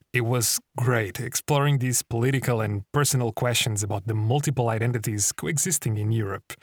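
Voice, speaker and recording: Brief: male podcast host, mid pitch, measured and formal, dry close mic. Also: dry recording. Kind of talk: scripted podcast hosting.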